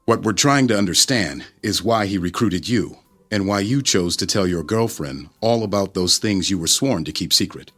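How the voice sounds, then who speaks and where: An actor playing a cold, menacing government agent in a radio drama, speaking in a formal, officious, measured way. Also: male voice, sits low, animated, fairly bright tone, soft background music. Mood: cold menace.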